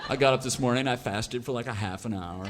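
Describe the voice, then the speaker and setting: An actor playing a dim, excitable young surfer cowboy with a Californian surfer accent and drawling slang, in a live comedy radio show. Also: male voice, mid-range voice, fast talker, close to an everyday voice. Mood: earnest, spaced out. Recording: live stage recording with audience, noisy.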